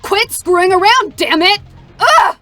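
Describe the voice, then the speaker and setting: A hard, vengeful female android fighter, all bitter threats and curses. Her voice sits high and sharp for a woman. Soft music plays underneath. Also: animated, measured pace, full character voice. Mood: angry. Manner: snarling, curses often, shouts in anger. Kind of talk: live staged reading of game dialogue.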